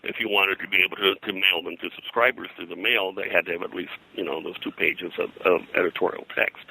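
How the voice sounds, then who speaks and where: Male interview guest, historian type: low, gravelly, halting and detail-heavy, informative. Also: steady tone, sharp tone, rough recording. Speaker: interviewed publisher and pop-culture historian. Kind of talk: conversational interview, explaining history.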